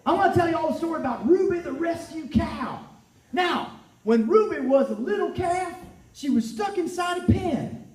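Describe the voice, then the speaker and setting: A man's voice: very high voice, lively intonation, moderate pace, darkish tone, voice pushed up. A man playing a bubbly young farm boy. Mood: storytelling. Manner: bubbly, exclaiming, storybook telling. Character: cheerful young farm boy, eager friend. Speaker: farmer's son in a live storytelling show. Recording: roomy echo, distant mic, faint music.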